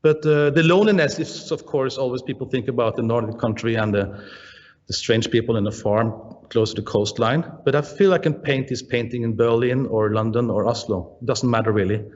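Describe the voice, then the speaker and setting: A Danish-accented man speaks in a middle-pitched voice, thoughtful and halting, with plenty of "uh"s as he hunts for the right English word. The sound is dull and slightly muffled, and a long reverb trails after him. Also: a little mellow. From Denmark. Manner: halting, searching for words, frequent "uh" and restarts. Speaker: painter being interviewed. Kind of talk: relaxed interview answers.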